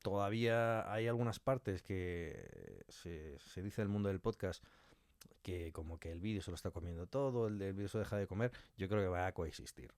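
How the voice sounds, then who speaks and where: A middle-aged man from the world of audio fiction speaks with a Spanish accent from Spain in a low voice. He answers conversationally, reflecting aloud and building examples as he goes. The sound is dry, with very little room in it. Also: animated, moderate pace, a little mellow, dry recording. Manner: reflective, thinks aloud with examples and imagined quotes. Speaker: interviewed audio fiction writer and director.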